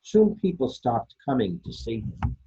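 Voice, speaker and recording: A man reading children's picture books aloud as a storytime host, expressively and deliberately, with dramatic pauses and a husky voice. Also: mid-range voice, huge pitch swings, mellow, highs cut off. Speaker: storytime reader for a library.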